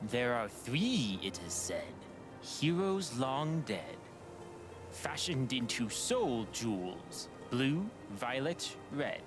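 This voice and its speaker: An actor playing a theatrical fantasy riddle-giver in a video game, speaking in a portentous, dramatic way. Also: male voice, medium pitch, sing-song. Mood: ominous, theatrical. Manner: slow, portentous, dramatic delivery. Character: theatrical male riddle-giving fantasy role-play character. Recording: music playing behind the voice.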